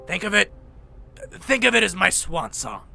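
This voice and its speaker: An aging robot medic, played by a man in a motion comic, reflective and persuasive. The voice is high, extremely gravelly and piercingly bright. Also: sing-song, normal speed, a little hiss or hum, exaggerated voice. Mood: wistful, persuasive. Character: aging male robot medic planning a swan song.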